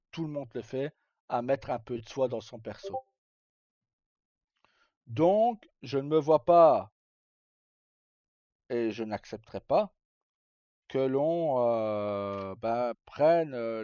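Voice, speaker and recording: A man's voice: medium pitch, dark tone, dry recording. A middle-aged man talks casually on a livestream in an informal, rambling and hesitant way. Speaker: live streamer. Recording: dull, lo-fi sound.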